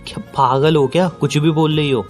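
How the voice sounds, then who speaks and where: A man's voice: on the high side, steady tone, normal speed, faint music behind, lightly acted. A young man playing a hurt, incredulous boyfriend in an audio call drama, pressing and pleading in an exasperated way. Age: young adult. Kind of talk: acted phone-call drama in conversational Hindi with some English.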